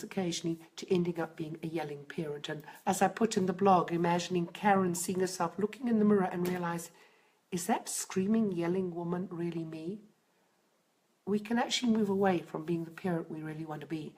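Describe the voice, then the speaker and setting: A middle-aged woman, a parenting coach, talking live to viewers on a stream, hoarse and croaky from a cold, chatty and reflective. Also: medium pitch, a little mellow.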